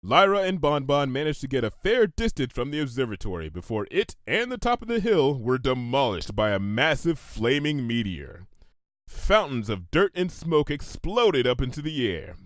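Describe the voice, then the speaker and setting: A man's voice: medium pitch, very animated, moderate pace, no room sound, studio quality. A man narrating a comedic fan fiction story in a theatrical, emphatic manner, drawing phrases out for suspense.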